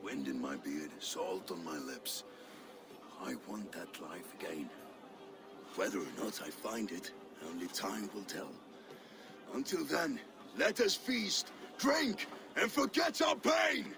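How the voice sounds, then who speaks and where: A weary Norse warrior for a dramatic video game. His voice is gravelly and creaky, swinging widely between low and high. He is a homesick soul who can still roar at a feast. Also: very animated, slow pace, heavily acted. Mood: rousing, boisterous. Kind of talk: dramatic game cutscene acting.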